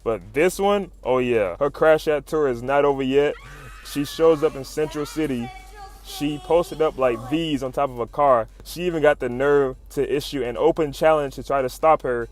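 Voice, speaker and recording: A man's voice: medium pitch, moderate pace. Slangy man hyping a recap over music. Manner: slangy, sweary, fannish exclamations. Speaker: YouTube comic recap narrator. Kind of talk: casual hype commentary, retelling comic fights. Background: music under the voice-over.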